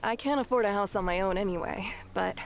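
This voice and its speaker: A woman voicing a hard-up townswoman who sounds plain and quietly optimistic. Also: mid-range voice, fairly quick, slight background noise, natural voice. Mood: hopeful.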